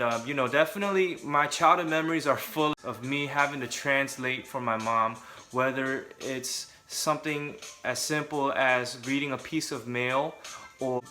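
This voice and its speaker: A man's voice: medium pitch, pushed and bright. A young adult man from California, talking casually and candidly to camera with joking asides. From Southern California. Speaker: vlogger.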